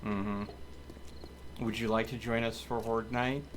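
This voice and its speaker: A man's voice: on the low side, little pitch movement, a little slow. A man hosting a multiplayer game stream with casual live commentary. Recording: faint background noise.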